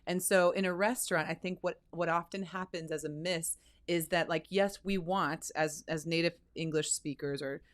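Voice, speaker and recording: A woman with a fairly low voice chats with enthusiasm in a casual podcast conversation. She speaks easily and warmly about her interests. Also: steady tone, normal speed, few pauses. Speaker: university center director.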